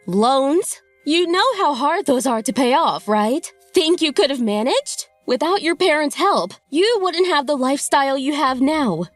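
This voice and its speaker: A woman's voice: high voice, lively intonation, a little slow, hardly any pauses, no room sound, faint music behind. A woman acting an outspoken, indignant wife and mother in a dubbed manga drama.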